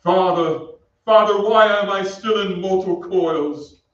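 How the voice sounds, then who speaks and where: A man's voice: sits high, takes it slowly. A man playing a weary, grandiloquent centuries-old nobleman's ghost in an old-time radio style stage drama. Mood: rapturous farewell. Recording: echoey stage, distant mic, muffled.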